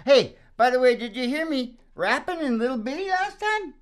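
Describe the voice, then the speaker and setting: A woman's voice: sits high, big pitch swings, normal speed. A woman chatting casually and playfully as she hosts a live music show. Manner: jokey, playful. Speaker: singer and show host. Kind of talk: casual joking chat between live songs.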